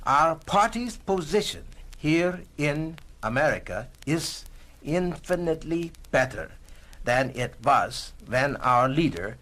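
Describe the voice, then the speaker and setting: An actor playing an elderly retired professor, a devoted Communist, speaking formally and solemnly in a dramatized 1960s style. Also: male voice, on the high side, animated, slow pace, a bit bright. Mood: solemn pride. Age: older.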